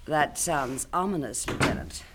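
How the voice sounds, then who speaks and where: A woman's voice: low voice, heavy background noise. A sly, teasing rich lady. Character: cool, teasing wealthy woman. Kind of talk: 1970s radio drama acting.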